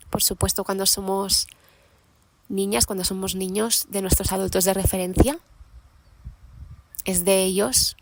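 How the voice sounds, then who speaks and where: A young woman hosting a podcast, musing aloud in an unscripted monologue while sitting outdoors in a mountain meadow, thoughtful and unhurried with many pauses and restarts. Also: mid-range voice, slight background noise. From Catalonia, Spain. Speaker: podcast host.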